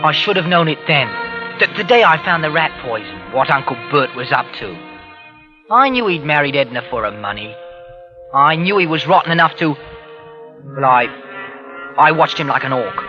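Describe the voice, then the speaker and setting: An actor playing a bitter, suspicious young working-class English nephew in a 1940s radio drama, sarcastic and sullen. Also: male voice, a little high, lively intonation, normal speed, pauses often, music in the background, natural voice. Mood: brooding narration. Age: young adult. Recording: dull old 1940s radio recording.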